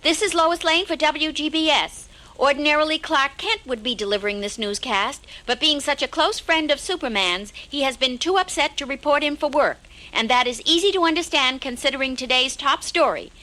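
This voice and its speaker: An actress playing an earnest, distressed news reporter, delivering a formal, emphatic newscast in an audio drama. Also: female voice, high-pitched, moderate pace, sharp tone. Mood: grave, urgent.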